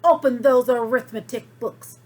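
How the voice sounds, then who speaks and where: A woman voicing a stern, nasty substitute teacher in a storybook, with slow, drawn-out, snapping commands. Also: fairly high pitch. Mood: menacing, stern.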